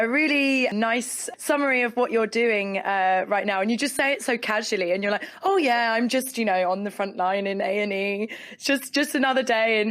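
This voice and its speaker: A woman's voice: medium pitch, dry recording. A woman hosting a podcast interview in a conversational, effusive and appreciative way. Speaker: podcast host.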